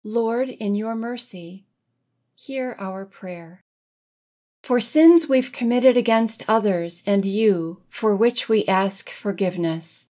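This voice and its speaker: Woman slowly leading prayer. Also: mid-range voice. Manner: slow, gentle, deliberate prayer leading. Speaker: music director leading prayer. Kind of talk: led liturgical prayer.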